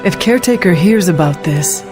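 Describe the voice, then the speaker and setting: A woman voicing an earnest, wary warrior in a video game, speaking in a formal, measured way. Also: fairly low pitch, expressive, close to an everyday voice. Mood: wary, concerned. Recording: music bed behind the voice.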